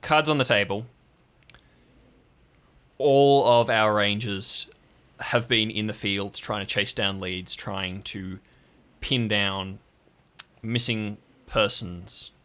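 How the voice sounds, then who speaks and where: A man voicing a brusque, exasperated woman ranger in an improvised audio drama, with a blunt, halting, authoritative manner. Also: fairly low pitch, fairly bright tone. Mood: grave, businesslike.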